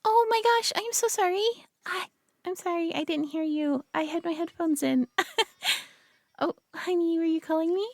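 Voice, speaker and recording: A young woman playing a sweet, nervous girlfriend who talks softly to the listener, giggling and stammering often. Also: extremely high pitch, steady tone, normal speed, pauses often, no echo, clean studio sound. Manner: giggly, stammering, soft-spoken. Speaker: audio roleplay performer. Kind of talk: girlfriend roleplay addressing the listener.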